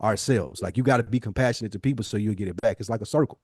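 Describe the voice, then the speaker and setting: A man's voice: on the low side, rapid-fire, a little mellow, husky, dry recording, studio quality. An American man in casual podcast conversation, speaking emphatically in slang and acting out imagined dialogue. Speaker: podcast co-host.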